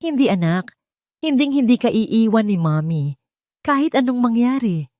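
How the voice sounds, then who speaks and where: A woman's voice: on the high side, animated, moderate pace, warm tone, dry recording, studio quality, voice pushed up, lightly acted. A Filipino woman voicing a heartbroken, tender mother and betrayed wife in an audio drama, soothing and sorrowful.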